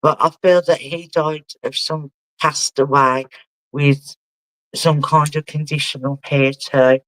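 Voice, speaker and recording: A man working as a spiritual medium, giving a live reading in a halting way and often asking the sitter whether it makes sense. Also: fairly high pitch, little pitch movement, fairly bright tone, dry recording, rough recording. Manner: halting, relays messages and keeps asking the sitter to confirm.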